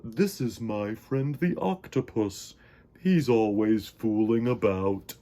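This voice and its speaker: A man voicing a big, kindly storybook whale with a deep rumbling voice and a calm, reassuring, cheerful manner. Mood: soothing. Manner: calm, reassuring, drawn-out delivery.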